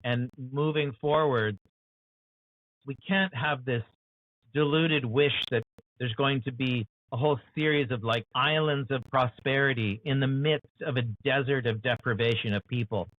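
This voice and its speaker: A man's voice: mid-range voice, measured pace. American man on a tinny call line. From United States. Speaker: author guest. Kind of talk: earnest interview discussion. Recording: dry, tinny phone-like call audio.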